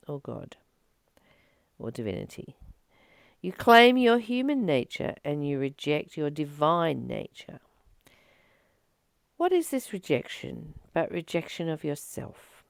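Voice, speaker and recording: A woman reading aloud and reflecting on spiritual teachings in a deliberate, emphatic way, with pauses between phrases. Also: lowish voice, gravelly, a little mellow, dry recording, clean studio sound. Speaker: host of a spiritual reading channel.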